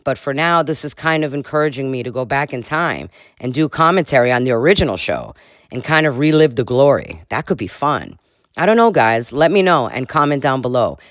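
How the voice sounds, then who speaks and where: A man talks through a comedic reaction video in a sarcastic, chatty way, dropping little chuckles between lines. His voice sits in a plain middle pitch. The close mic is dry, with no room sound. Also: lively intonation, brisk, a bit bright, dry recording.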